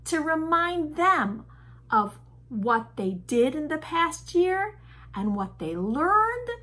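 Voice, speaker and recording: A woman teacher telling a story to young children with deliberate, expressive phrasing. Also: high-pitched.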